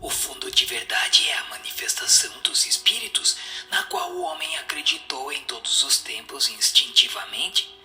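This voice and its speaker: A man's voice: on the high side, sharp tone. A man with a Brazilian accent giving answers in a solemn scripted, dramatized reading. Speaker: reader of the answers. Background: music.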